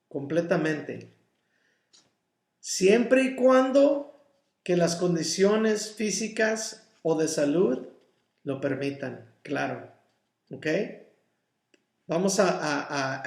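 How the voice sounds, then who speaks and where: A male pastor, a native English speaker, leads a Bible study in a high, gravelly voice. He teaches slowly and deliberately, pausing to check in with his listeners. The sound rings in an echoey church room. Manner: mixes in English words.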